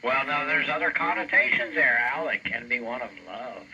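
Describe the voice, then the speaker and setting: A man's voice: medium pitch, normal speed, bright, forceful tone, highs cut off. An older American man chatting casually over shortwave amateur radio, telling rambling anecdotes. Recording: very noisy shortwave radio reception, heavy static.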